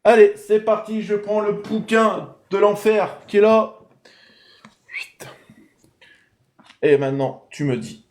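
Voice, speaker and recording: High-voiced chatty male stream host. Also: takes it slowly, pauses often. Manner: chatty, thanks viewers, runs a quiz. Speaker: live show host. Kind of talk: casual live-stream chat.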